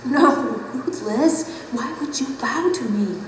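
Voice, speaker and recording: A woman's voice: high-pitched, lively intonation, slow, slight background noise. An actress playing a humble, tender woman, earnest and full of wonder, in an audio drama. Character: humble, tender woman newly arrived in heavenly kingdom. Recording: echoey hall under clear music.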